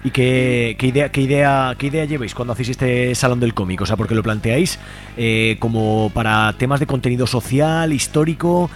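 A man's voice: medium pitch, moderate pace. A man with an Aragonese Spanish accent hosting a casual radio interview, chatty and a little self-deprecating. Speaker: radio host.

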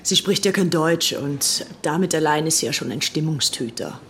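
Low-voiced gushing young society woman. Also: expressive, moderate pace, fairly bright tone, a little hiss or hum. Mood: confiding, gushing. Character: infatuated, spoiled young British aristocrat woman, gushingly devoted. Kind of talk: acted diary-like confiding in a docudrama.

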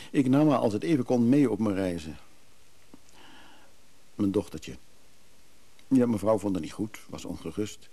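An actor playing a weary, bereaved middle-aged traveling businessman in a radio drama, speaking in a husky, hesitant, reflective way. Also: fairly low pitch, dark tone, exaggerated voice. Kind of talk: naturalistic Dutch radio drama acting.

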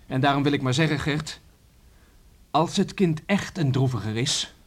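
An actor in a Dutch radio play playing an earnest, dutiful village man who speaks in a deliberate, hesitant and formal way. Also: fairly high pitch, close to an everyday voice. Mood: earnest, careful. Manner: deliberate, hesitant, formal third-person address.

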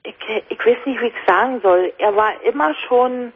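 A woman's voice: on the high side, normal speed, husky. A woman from Brandenburg with a Brandenburg accent, calling into a radio show and speaking hesitantly with many fillers. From Brandenburg, Germany. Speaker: caller. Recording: phone line on radio.

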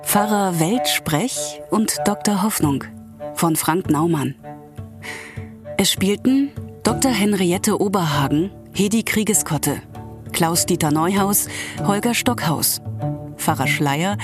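A woman reads the closing credits of a radio play slowly and formally. Her voice sits a little low, and music plays clearly underneath. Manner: measured, formal. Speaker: credits announcer.

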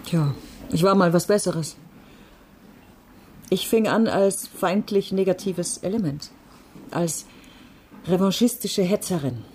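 An actress playing a bitter, sardonic middle-aged former activist in a radio drama, speaking in a wry, halting way. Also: female voice, on the low side, a little mellow, slight background noise. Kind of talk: naturalistic radio drama dialogue.

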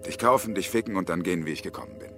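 An actor playing a bitter, obstinate unemployed engineer around fifty in a German radio drama, brooding and insistent. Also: male voice, sits low, very fast, lightly acted. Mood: bitter, taunting. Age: 50s. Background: music.

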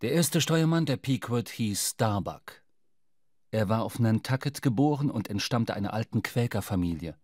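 An actor playing a reflective seafaring narrator, reading literary prose in a radio drama. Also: male voice, fairly low pitch, animated, moderate pace, a little mellow, no room sound, clean studio sound. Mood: measured storytelling. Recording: music under the voice. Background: music.

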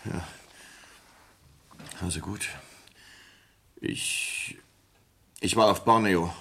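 An actor playing a distraught sailor in a radio play, confessing to a horror he witnessed in a halting, agitated manner. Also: low-pitched, fairly even intonation, gravelly, heavy background noise, full character voice. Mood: reluctant, uneasy. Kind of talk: dramatic German radio play monologue.

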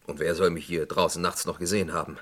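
An actor playing an accused lab worker in a vintage radio crime drama, formal, hesitant and protesting. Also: male voice, low-pitched, steady tone, quick pace, a bit bright. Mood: evasive, uneasy. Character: accused male lab worker, defensive and evasive. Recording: roomy old radio play recording.

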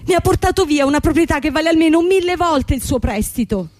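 A woman's voice: high voice, expressive, darkish tone, no room sound. Desperate, proud young woman boss, close-dry sound. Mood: indignant, desperate. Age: young adult. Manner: emotional, halting retelling with repeated words. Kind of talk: radio play acting.

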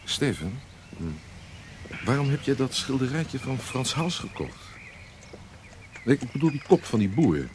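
Impulsive, proud young apprentice, animated. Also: male voice, mid-range voice, a little slow, long pauses, a little hiss or hum. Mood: opinionated. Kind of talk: Dutch historical radio drama acting.

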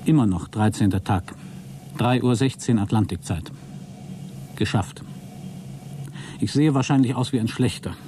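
An actor playing a deluded, exhausted astronaut who keeps a logbook in a radio play, halting, self-justifying and grandiose. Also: male voice, mid-range voice, mellow, slight background noise, natural voice. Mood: weary, uneasy. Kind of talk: dramatic radio play monologue.

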